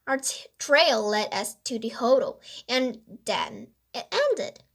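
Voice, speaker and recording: A woman's voice: on the high side, big pitch swings, slow, full character voice. A woman voicing an earnest, worried young boy detective in a children's storybook, with an urgent, questioning manner. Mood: puzzled.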